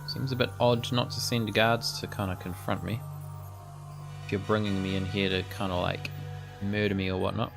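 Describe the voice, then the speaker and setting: A man's voice: lowish voice, little pitch movement, moderate pace, creaky, music in the background. A young man streaming a video game and giving casual, rambling commentary as he thinks aloud. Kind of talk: casual let's-play commentary.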